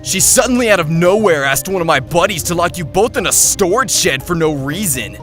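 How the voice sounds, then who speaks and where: Man playing a high-pitched, hot-tempered teenage bully. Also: lively intonation, a little fast, fairly bright tone. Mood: furious. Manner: confrontational, accusing, swearing. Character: aggressive, hot-tempered male high school soccer captain bully. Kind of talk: dramatic voice acting. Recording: music under the voice.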